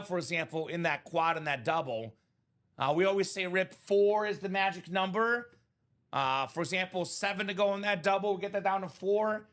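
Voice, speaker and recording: Male card break host, thirties, slightly high, bright voice, friendly sales patter. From Chicagoland area, born in Bulgaria. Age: 30s. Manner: chatty, salesy, addresses viewers by name. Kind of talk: casual live-stream chat.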